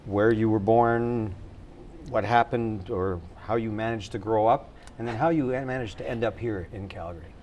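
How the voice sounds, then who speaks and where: A man's voice: fairly low pitch, moderate pace, darkish tone, a little hiss or hum. A man hosting a local TV interview show, folksy and joking, with frequent fillers. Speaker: TV talk show host.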